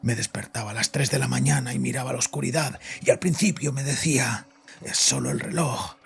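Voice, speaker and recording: A guilt-ridden father in a horror audio drama, his voice very creaky and badly frayed. He confesses in a bitter, frightened, halting way, with music running underneath. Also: mid-range voice, exaggerated voice. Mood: haunted fear. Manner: agitated, halting, haunted confession. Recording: voice over background music.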